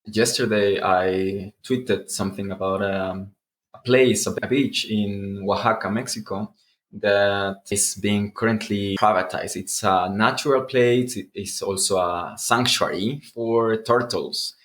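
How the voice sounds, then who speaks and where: A man with a somewhat low voice talks at a slow pace. He is an interview guest giving conversational answers. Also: fairly bright tone, a little hiss or hum.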